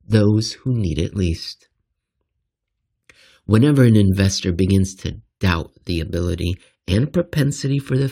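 A man with a low voice full of creak narrates a written essay. His tone is husky and rough, and his phrasing is deliberate and emphatic, with a wry edge. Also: darkish tone. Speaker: reader of a financial essay.

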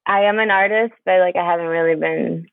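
A woman's voice: lowish voice, fairly even intonation, vocal fry, dry recording. Woman from Palestine on a tinny line. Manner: hesitant, halting, with many fillers and restarts. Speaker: guest. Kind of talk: conversational interview.

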